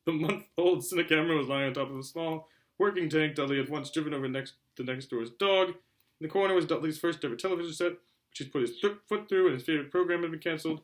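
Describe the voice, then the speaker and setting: A man narrating a children's novel aloud in an animated way, occasionally stumbling over words. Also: fairly high pitch, quick pace. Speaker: reader, show host. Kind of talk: amateur audiobook-style story narration.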